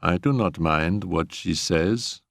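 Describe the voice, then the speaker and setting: A man's voice: sits low, slow, gravelly, no room sound, studio quality, full character voice. A man voicing a resentful, pious servant woman in an audiobook, speaking in a smug sing-song. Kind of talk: audiobook character reading.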